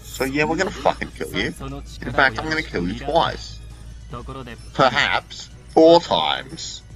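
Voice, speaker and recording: A man's voice: mid-range voice, sing-song, measured pace, a bit bright, rough recording. Sweary, rambling man over music. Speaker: video creator. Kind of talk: unscripted gameplay commentary.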